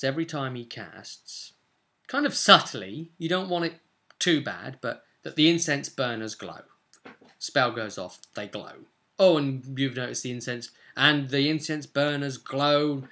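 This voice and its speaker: British man, twenties, sharp bright tone, medium pitch, casual rambling vlog delivery, emphatic, game master explaining. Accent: British English. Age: 20s. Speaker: game master, video host. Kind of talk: casual explanatory vlog about tabletop role-play. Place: small home room, indoors.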